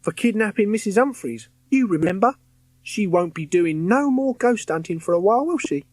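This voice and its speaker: A man's voice: high-pitched, expressive, moderate pace, darkish tone, no echo, pitched above the performer's own voice. A man voicing a cheerful, streetwise ghost boy, chummy and reassuring.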